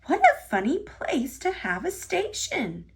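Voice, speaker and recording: A woman's voice: very high-pitched, huge pitch swings, measured pace, voice pushed up, full character voice. A woman voicing an eager, wide-eyed young male steam engine in a children's storybook. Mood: amazed, excited.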